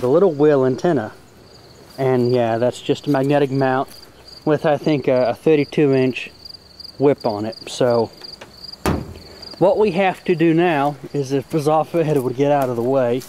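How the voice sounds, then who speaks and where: A man's voice: medium pitch, mellow, a little hiss or hum. A man giving a casual hands-on tutorial in a folksy, plain-spoken way, as host of a homemade how-to video. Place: outdoors in and around a car.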